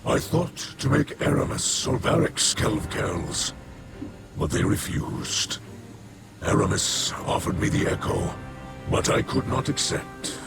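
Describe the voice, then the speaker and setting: A man's voice: fairly low pitch, lively intonation, gravelly. An actor playing a weary, solemn four-armed alien king in a game, stately and formal in his speech. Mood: grave, resolute. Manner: stately, measured. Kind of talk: formal, grave game dialogue. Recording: loud music under the voice. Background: music.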